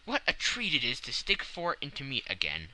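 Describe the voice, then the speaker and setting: A weary, hungry Victorian gentleman adventurer from a classic audiobook, played by a male narrator. He speaks earnestly and insistently, pressing his words. The tone is very bright and forceful, with animated intonation. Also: mid-range voice, fairly quick, heavily acted, poor audio. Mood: hungry, impatient. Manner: emphatic, earnest. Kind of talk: audiobook character voice.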